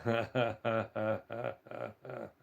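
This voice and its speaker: A hoarse man live-streaming from a hill village, reading out viewers' comments and greeting them by name in a halting way with many fillers. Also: lowish voice, very animated, highs cut off.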